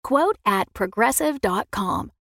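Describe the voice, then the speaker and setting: A woman's voice: medium pitch, animated, slow pace, creaky, fairly bright tone, no room sound, clean studio sound. A woman reading a scripted commercial disclaimer as an advertising voice-over.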